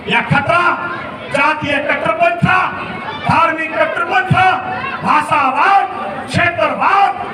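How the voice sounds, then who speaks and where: Man yelling a fiery holiday speech outside, echoey loudspeaker heard from far off, crowd noise all around. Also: very high-pitched. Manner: rousing, declamatory, often shouting. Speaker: member of state legislature. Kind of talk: public speech at Independence Day ceremony. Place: outdoor public ceremony ground.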